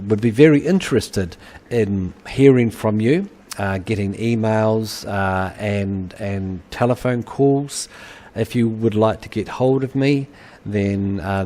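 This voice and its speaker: A middle-aged man with a New Zealand accent, giving a recorded webinar lecture and pausing often with uhs and ums. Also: fairly low pitch, mellow. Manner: halting, many uhs and ums. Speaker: university lecturer.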